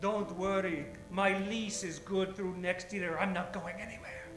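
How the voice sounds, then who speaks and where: A man's voice: sits high, steady tone, measured pace. A man playing a slippery, smugly polite pawnbroker in an old-time radio drama, with affected, formal phrasing. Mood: firm. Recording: roomy sound, faint music behind.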